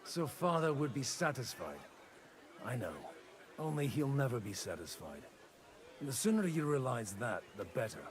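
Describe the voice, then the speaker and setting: A man acting as a defiant, disgraced medieval brawler-priest for a video game. He talks bluntly and frankly, with a pitch that swings widely from phrase to phrase. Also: sing-song, normal speed, crowd noise, natural voice. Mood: wry, frank. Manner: blunt, plain-spoken. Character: defiant disgraced brawling ex-priest, nobleman's scorned elder son. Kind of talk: dramatic game dialogue in a medieval setting.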